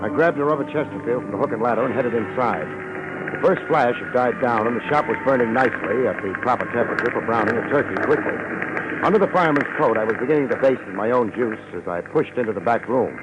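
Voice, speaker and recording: A male actor plays an easygoing American private eye in a vintage radio drama, with music in the recording. His voice sits at an average male pitch. He talks in slangy, dry wisecracks, like someone used to narrating his own cases. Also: animated, quick pace, muffled recording, close to an everyday voice. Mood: hard-boiled narration. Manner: wry, wisecracking, colloquial. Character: wry, easygoing American private detective, a man. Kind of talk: 1950s radio detective acting, first-person narration.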